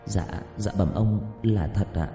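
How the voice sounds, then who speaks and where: A man's voice: low voice, expressive, unhurried, warm tone. A man voicing a timid rickshaw-driver servant in an audio story, with a trembling, stammering, humbly pleading voice. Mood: nervous. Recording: echoey with long reverb, music behind.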